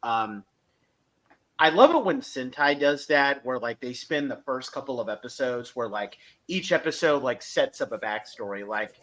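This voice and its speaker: A man's voice: medium pitch, lively intonation, moderate pace, fairly bright tone. A man co-hosting a podcast, giving an enthusiastic, opinionated fan review in a casual discussion.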